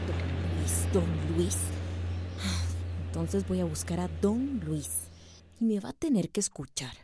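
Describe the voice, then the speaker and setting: An actress with a Central American accent playing an earnest, insistent village schoolteacher in a radio drama. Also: female voice, on the low side, lively intonation, slow. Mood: insistent, worried.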